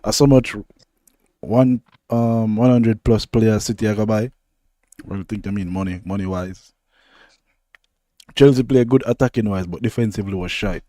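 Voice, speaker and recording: A young Jamaican man talks football in a loose, easygoing patois, drifting from one point to the next. His voice sits low and mellow, recorded dry and close with no room around it. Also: no echo. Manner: laid-back, rambling, loose patois phrasing. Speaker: podcast co-host. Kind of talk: casual football chat.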